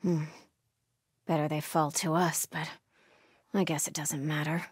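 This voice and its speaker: A devout female cult sentry from a video game. Her voice is lowish and husky, solemn and watchful, with measured, pious phrasing and a dry, close sound. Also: little pitch movement, no room sound. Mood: solemn vigilance. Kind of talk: video game ambient dialogue.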